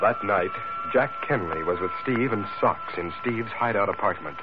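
A man's voice: on the low side, expressive, normal speed, background noise. A man narrating in the scripted style of 1940s radio drama. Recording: tinny old radio recording, music. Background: music.

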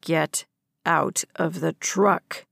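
A stern, furious father, as a woman reader puts him on, speaking low with cold, controlled menace. The recording is dry and close, with no room sound. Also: flat delivery, takes it slowly, darkish tone, dry recording. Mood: cold threat. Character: stern angry middle-aged father.

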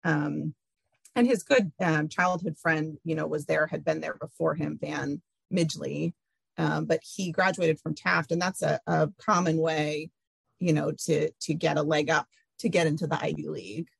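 A middle-aged woman being interviewed, talking hesitantly with many pauses and fillers. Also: deep voice, fairly even intonation, creaky, breathy edge, dry recording. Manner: hesitant, many ums and restarts. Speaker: interview guest. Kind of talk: casual interview, recalling family history.

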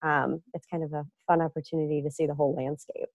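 A woman's voice: low-pitched, fairly even intonation, a little mellow, no room sound, rough recording. A woman interviewer asking questions in a friendly, conversational way. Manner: friendly, quick affirmations.

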